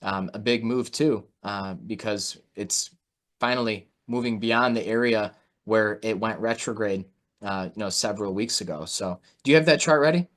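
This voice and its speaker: A man's voice: fairly low pitch, moderate pace, fairly bright tone. A man hosting an online interview, giving informal, conversational market commentary peppered with "you know". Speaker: host and market analyst. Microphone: video call.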